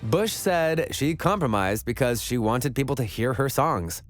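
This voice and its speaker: A man's voice: medium pitch, animated, unhurried, faint music behind. A man reading scripted narration for an online countdown list video.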